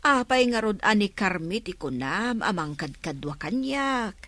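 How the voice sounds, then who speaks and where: A woman's voice: mid-range voice, very animated, a little slow, fairly bright tone, no room sound. A woman with an Ilocano accent acting a concerned, questioning middle-aged village mother in a radio drama. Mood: questioning, worried.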